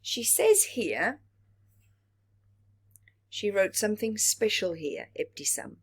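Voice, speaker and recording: A woman reading a story aloud to young children as a storyteller, expressively, pausing to explain and ask questions. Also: medium pitch, breathy edge. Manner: expressive, asks the listeners questions.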